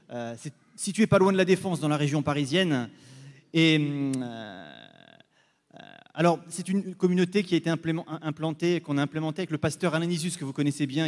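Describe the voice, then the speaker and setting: Male visiting preacher, fairly high voice, hesitant church address, long hall reverb. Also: a little fast.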